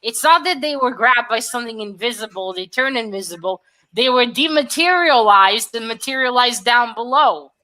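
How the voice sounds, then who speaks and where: A woman's voice: a little high, expressive, normal speed, pushed and bright, poor audio. A woman in a casual book discussion, retelling the story emphatically and jumping in as co-host.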